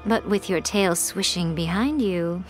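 A woman's voice: mid-range voice, expressive, takes it slowly, soft background music, rough recording. A woman playing a sly, self-assured shrine maiden in an anime game, composed and playfully teasing.

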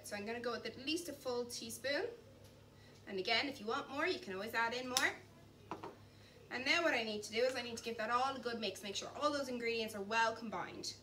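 A young woman with a bright, forceful voice talks viewers through a recipe in a chatty, encouraging way, keeping up the talk while she chops and mixes. She sounds a little high for a woman. She is recorded from across a large kitchen, with faint noise underneath. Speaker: cooking instructor. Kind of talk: cooking demonstration. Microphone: camera at a distance. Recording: distant mic, faint background noise.

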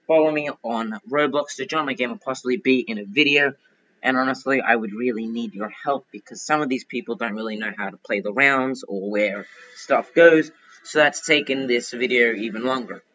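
A man hosts a gaming video in a bright, forceful voice at a middling pitch. His commentary is casual and informal, as if chatting to viewers from his computer. The sound is a little dull and lo-fi.

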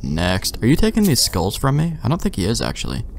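A man chats casually as he plays a video game, thinking aloud in loose, sweary language. His voice sits fairly low with a noticeable creak and animated melody. Faint game ambience runs behind him. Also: a little fast, a little hiss or hum. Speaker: let's play host.

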